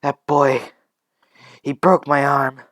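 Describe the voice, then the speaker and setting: A man's voice: medium pitch, fairly even intonation, takes it slowly, no echo, studio quality, voice pushed up. A man voicing an injured, frightened woman caregiver in a told story, stammering and crying out in pain. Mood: accusing, shaken. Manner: stammering, breathless outcries. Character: injured female caregiver, terrified, pained, accusing a child.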